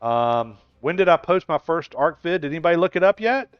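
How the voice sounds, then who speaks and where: A man's voice: a little high, expressive, brisk, clear, resonant tone, dry recording, faint music behind. Chatty man in his sixties, lo-fi stream. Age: 60s. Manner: rambling, chatty, laughs often between thoughts. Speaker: gaming live streamer. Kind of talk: casual live-stream chat answering viewers. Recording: dull lo-fi stream audio.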